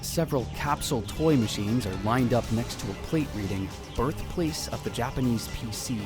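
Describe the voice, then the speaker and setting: A man's voice: medium pitch, moderate pace, lightly acted. A man playing an anxious, dramatic young conspiracy theorist who narrates a visual novel in a theatrical, self-questioning way. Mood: brooding, secretive. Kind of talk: first-person visual novel narration, acted. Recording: music bed under the voice. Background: background music.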